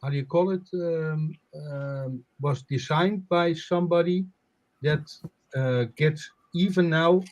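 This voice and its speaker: A man's voice: a little high, darkish tone, muffled recording. Hesitant man in his sixties. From Netherlands. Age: 60s. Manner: hesitant, many filler sounds and pauses. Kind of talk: casual group chat on a video call.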